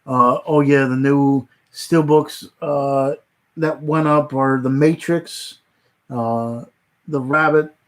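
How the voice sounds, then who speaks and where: A man hosting a casual livestream, rambling with many fillers and restarts, his voice starting to go hoarse. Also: medium pitch, steady tone, slow pace. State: voice getting hoarse. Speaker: livestream host.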